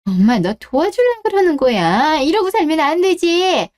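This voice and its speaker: A woman's voice: high voice, very animated, moderate pace, a little mellow. A woman playing a domineering, scornful older mother-in-law in a melodramatic radio drama, scolding and indignant. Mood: defensive.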